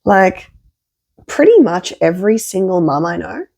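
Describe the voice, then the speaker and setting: A woman therapist with a croaky, flu-affected voice, talking candidly and expressively in a podcast conversation. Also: on the low side, unhurried. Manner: expressive, emphatic, acts out quoted phrases. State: has a flu.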